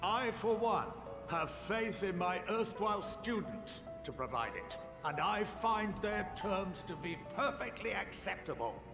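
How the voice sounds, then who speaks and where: Sardonic video game scholar: slow, theatrical, wry, with loud music behind. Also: male voice, high voice, big pitch swings, exaggerated voice. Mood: earnest approval. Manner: theatrical, drawn-out, wry delivery. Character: gleefully sarcastic, theatrical male elder scholar. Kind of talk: scripted video game voice acting. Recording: reverberant game audio under loud music.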